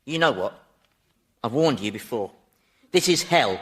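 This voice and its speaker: Exasperated, sardonic British Satan, swooping intonation. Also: male voice, mid-range voice, lively intonation, measured pace, a bit bright. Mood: angry. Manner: grumbling, sarcastic, colloquial British swearing. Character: exasperated, sardonic Satan, weary ruler of hell. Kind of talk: comedy radio drama acting.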